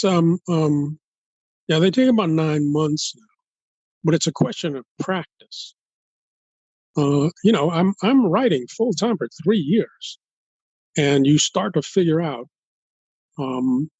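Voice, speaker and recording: An older man from Los Angeles in an interview as a guest author, speaking in a halting, thoughtful way and building his points step by step. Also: a little high, a little mellow, slightly rough, no echo, highs cut off. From United States, Los Angeles. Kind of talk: interview conversation.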